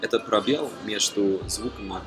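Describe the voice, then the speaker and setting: A young man from Germany talking casually to camera as a non-native speaker, halting with frequent fillers and self-corrections. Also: lowish voice. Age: young adult. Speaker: language-learning vlogger. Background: background music.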